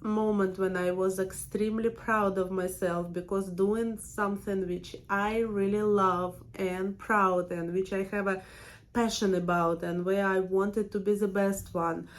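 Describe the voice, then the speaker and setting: A woman in her thirties speaks in a heavy Russian accent, her pitch sitting in an ordinary middle range. She talks in a loose, chatty vlog manner, full of fillers and frequent small laughs. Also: level tone, measured pace. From Russia, Siberia. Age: 30s. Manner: chatty and warm, laughs often, many fillers. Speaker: permanent makeup trainer and channel host. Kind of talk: casual personal vlog talk.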